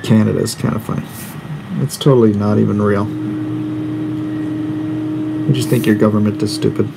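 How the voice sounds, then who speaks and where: Man, 40s, creaky mid-range voice, offhand livestream chatter with slangy asides, faint room hum behind. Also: on the low side, level tone, normal speed. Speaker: comic artist hosting a live drawing stream. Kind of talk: casual livestream chat, reading and answering viewer comments. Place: indoors at a drawing desk.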